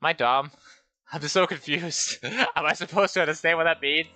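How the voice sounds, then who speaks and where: A man's voice: fairly high pitch, normal speed, bright, forceful tone. A man streaming a game, rambling and joking at himself as he talks through it. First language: Danish. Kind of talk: casual game livestream commentary.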